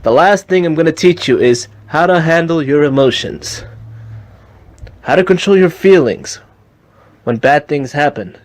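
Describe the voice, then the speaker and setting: A man playing a calm, wise Jedi mentor who lectures his students earnestly in an amateur fan skit. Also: a little high, unhurried, pauses often. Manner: instructive, earnest, preachy.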